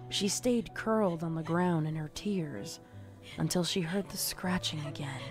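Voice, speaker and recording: A woman narrating a scripted horror story in a measured, dramatic storytelling manner. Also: low voice, soft background music.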